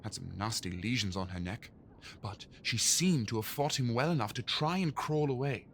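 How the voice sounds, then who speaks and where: An actor playing a harried, exasperated Victorian police inspector in an audio drama, gruff and formal. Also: male voice, medium pitch, normal speed, a little hiss or hum, close to an everyday voice. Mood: grim, stern.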